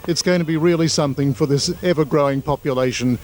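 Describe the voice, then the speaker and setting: An Australian field reporter delivers a local news piece with upbeat energy. His voice sits a little high for a man. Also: fast talker, darkish tone.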